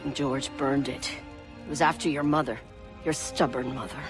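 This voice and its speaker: A man's voice: medium pitch, fairly even intonation, moderate pace, close to an everyday voice. A man playing a stern, fatalistic village elder with solemn, emphatic delivery in a game drama. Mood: grim. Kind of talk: dramatic game cutscene acting. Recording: dramatic music behind.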